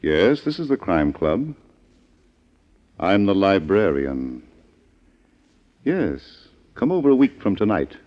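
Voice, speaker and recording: A man's voice: sits low, big pitch swings, slow, long pauses. A man announcing and hosting in scripted 1940s radio style. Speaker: announcer and host in librarian persona. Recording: muffled, noisy vintage radio recording.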